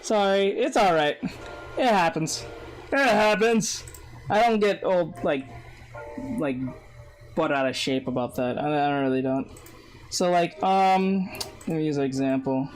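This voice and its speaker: A man streaming a casual drawing lesson, with a soft, soothing voice, rambling and correcting himself as he chats with viewers. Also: on the high side, a little slow, long pauses, low-quality recording. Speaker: art streamer teaching drawing. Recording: background music under voice. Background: guitar music.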